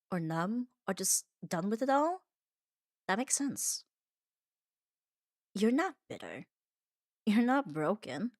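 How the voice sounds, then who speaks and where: A woman's voice: mid-range voice, no echo. Soothing woman, close and dry. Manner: reassuring, soothing, unhurried. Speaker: ASMR audio creator. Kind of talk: calm ASMR comfort monologue.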